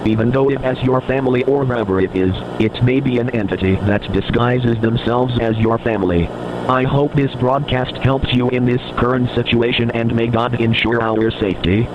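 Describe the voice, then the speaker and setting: A man's voice: medium pitch, steady tone, normal speed, lightly acted. A man playing a grave emergency broadcast announcer who warns civilians in a scripted message. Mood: grave warning. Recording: muffled, noisy broadcast audio.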